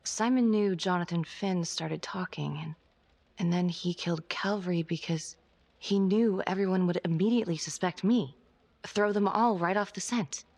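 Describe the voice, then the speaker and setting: A woman's voice: normal speed, a little mellow. A woman playing a driven, suspicious police detective in a naturalistic game drama, terse and intense with a wry edge. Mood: brooding, analytical. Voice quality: low, husky, creaky. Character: driven, suspicious female police detective on a serial killer case.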